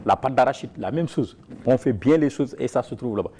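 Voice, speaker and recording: A man's voice: medium pitch, expressive, fairly quick, mellow, slight background noise. Man speaking with emphatic repetition. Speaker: farmers' organization leader. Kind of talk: interview answers.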